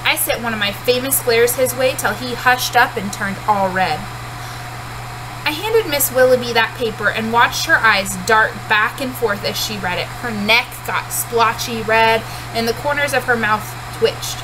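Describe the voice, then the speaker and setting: A woman's voice: fairly high pitch, measured pace, background noise. A woman in her thirties, a teacher reading a storybook aloud in first-person narration at home. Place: small cluttered room at home, indoors.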